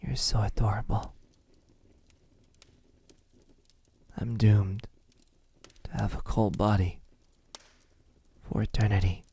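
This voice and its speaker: A man playing a possessive, lovesick vampire captor in an ASMR roleplay, speaking intimately in a husky voice that often drops into a whisper. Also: fairly low pitch, dark tone, exaggerated voice. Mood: longing, frustrated. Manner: slow, lingering, halting delivery.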